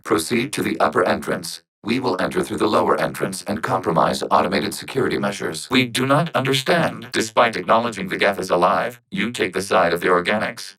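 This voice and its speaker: A low-voiced male robot with a synthetic, filtered sound. The delivery is formal and measured, each statement precise and logical. It is a calm, unemotional machine intelligence from a science fiction video game. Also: rough recording.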